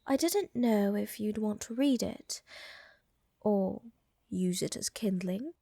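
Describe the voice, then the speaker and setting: Woman acting a slow, soft-spoken flirtatious vampire countess. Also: mid-range voice, gravelly, no echo, studio quality. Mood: teasing, affectionate. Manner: teasing, soothing, elegant formal phrasing with sighs. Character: flirtatious, doting, aristocratic female vampire countess, protective lover. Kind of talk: intimate ASMR audio roleplay.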